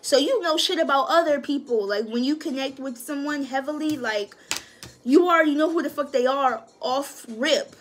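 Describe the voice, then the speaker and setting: A woman giving a casual tarot reading in a high voice, swearing freely and talking with slangy emphasis. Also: normal speed, slight background noise. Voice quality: high-pitched voice. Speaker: tarot reader.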